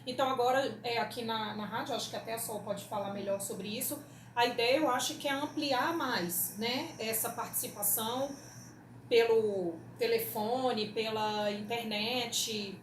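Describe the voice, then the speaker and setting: A woman's voice: fairly high pitch, fairly even intonation, normal speed. A Brazilian woman radio producer giving reflective, casual interview answers. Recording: echoey room, clear background noise.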